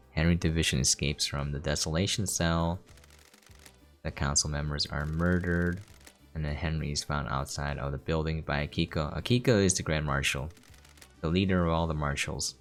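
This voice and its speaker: A man's voice: very deep voice, fairly even intonation, moderate pace. A man giving casual, explanatory gameplay commentary, talking informally to his viewers. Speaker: game streamer giving a preview. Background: game music.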